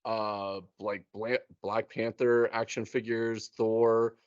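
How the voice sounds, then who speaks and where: A man chatting casually, telling things in an animated, chatty way and acting out little quotes as he goes. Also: on the low side, slow pace, clean tone, dry recording, highs cut off. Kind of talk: casual chat.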